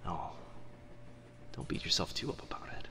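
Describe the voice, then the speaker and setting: A young man playing a teasing, kind-hearted telepath in a boyfriend ASMR roleplay, with hesitant, chatty talk and soft asides. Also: moderate pace, a little hiss or hum. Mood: musing, fond. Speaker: voice actor in audio roleplay.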